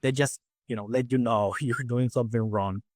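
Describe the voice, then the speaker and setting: A man in his forties from Peru, speaking English with a Peruvian Spanish accent, his native tongue being Spanish. He talks quickly and loosely, full of restarts and "you know" fillers, at a middling pitch. The sound is close and dry, with no room around it. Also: steady tone, no echo. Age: 40s. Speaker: guest dentist and faculty. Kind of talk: casual interview chat.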